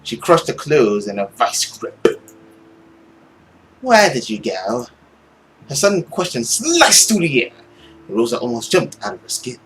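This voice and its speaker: Gaming host: young man, theatrical read-aloud, animated intonation, faint noise in the background. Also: medium pitch, normal speed, low-quality recording. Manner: expressive, theatrical. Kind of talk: dramatic read-aloud narration with reactions.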